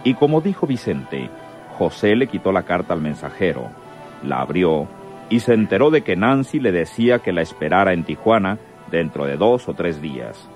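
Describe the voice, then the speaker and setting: A man's voice: deep voice, sing-song, normal speed, a little mellow, soft background music. A man acting as the solemn narrator of a radio crime serial. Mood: dramatic, suspenseful. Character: solemn male storyteller of a rural crime serial.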